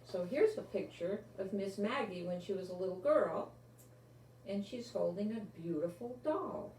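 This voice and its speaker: Slow-reading older woman in an echoey room. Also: mid-range voice, animated, a little mellow. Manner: unhurried, explains the pictures in simple words. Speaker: storyteller reading a children's picture book aloud. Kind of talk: expressive read-aloud narration for children. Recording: roomy, distant mic with faint noise.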